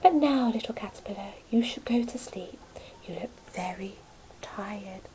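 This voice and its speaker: A woman voicing a gentle, knowing female butterfly, hushed and soothing, in a children's storybook. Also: mid-range voice, a little slow, a little hiss or hum, lightly acted, poor audio. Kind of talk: children's storybook read aloud.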